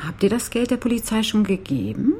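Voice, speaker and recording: A woman's voice: a little high, steady tone, brisk, warm tone. An actress playing a scheming, seductive, jealous woman in a German crime radio play, coaxing and taunting, often dropping close to a whisper. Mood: feigned sweetness. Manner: coaxing, taunting, drifts into breathy near-whispers. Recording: music bed behind the voice.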